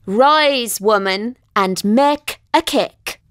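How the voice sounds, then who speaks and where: High-pitched horned witch, menacing, imperious, loud, slow, storybook villain. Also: smooth, dry recording, studio quality. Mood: commanding. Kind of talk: children's storybook reading.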